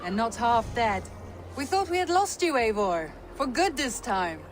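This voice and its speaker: A composed, formal advisor. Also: female voice, on the high side, very animated, unhurried, slight background noise. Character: composed female steward and advisor. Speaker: game character.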